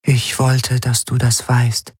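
A man voicing a sorrowful, devoted female apparition with a breathy, hushed, halting delivery, in an audiobook story. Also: mid-range voice, completely flat delivery, dry recording, studio quality, voice pushed up. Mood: sad, devoted. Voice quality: breathy, whispery. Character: fading glassy dream apparition, female, sorrowful devoted servant.

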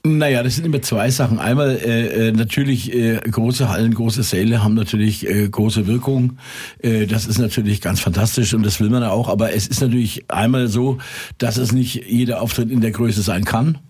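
A middle-aged male singer chatting as a guest, telling lively stories with many hesitant pauses. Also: on the low side, slightly rough. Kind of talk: casual interview chat.

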